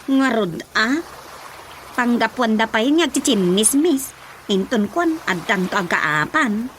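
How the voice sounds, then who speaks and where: A woman's voice: fairly high pitch, lively intonation, measured pace, a bit bright, slight background noise. A woman acting as an eager, respectful daughter who leads a village group, in a radio drama with an Ilocano accent. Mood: earnest, respectful. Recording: radio drama with music behind.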